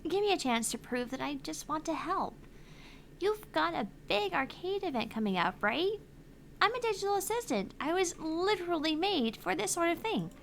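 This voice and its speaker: Iris, a woman voicing a high, creaky AI helper. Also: sing-song, normal speed, slight background noise, full character voice. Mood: earnest. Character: cheerful female AI phone assistant. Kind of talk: reading game dialogue aloud.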